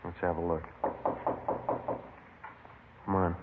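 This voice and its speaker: An actor playing a terse, businesslike police sergeant in a 1950s radio drama, with clipped, matter-of-fact speech. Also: male voice, deep voice, little pitch movement, takes it slowly, slight background noise. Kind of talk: 1950s radio acting and scripted narration. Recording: muffled old radio broadcast.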